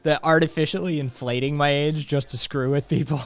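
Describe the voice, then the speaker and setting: A man's voice: a little high, steady tone, fairly quick, faint music behind. A man in his late thirties hosting a live stream, chatting casually with viewers in a rambling, profane way full of fillers. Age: late 30s. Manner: profane, rambling, laid-back with frequent fillers. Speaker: streamer host.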